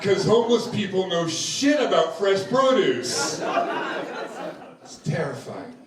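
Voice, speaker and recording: Brief: brash American-Scottish stand-up, slightly high voice, echoey club, laughing crowd. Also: male voice, sing-song, slow. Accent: American with a Scottish tinge. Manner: brash, profane, conversational with the crowd. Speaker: stand-up comedian. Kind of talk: stand-up set. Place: comedy club stage. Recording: echoey room, distant mic, audience laughter.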